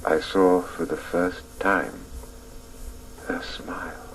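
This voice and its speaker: An actor narrating a radio play as a man recalling his boyhood, in a hushed, grave, reflective way. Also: deep voice, slow, vocal fry, a little hiss or hum, exaggerated voice, poor audio. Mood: wistful.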